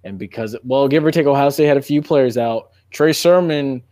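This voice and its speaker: A man hosting a sports podcast in casual, animated debate, making his points emphatically and repeating phrases for effect. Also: medium pitch, normal speed, no echo, studio quality.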